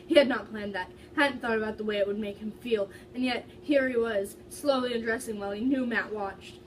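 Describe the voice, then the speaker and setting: A young woman with a fairly high voice reads a story aloud in a dramatic, informal amateur way. She trips over words now and then. The recording is distant, with clear background noise. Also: a little fast. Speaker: reader of fan fiction.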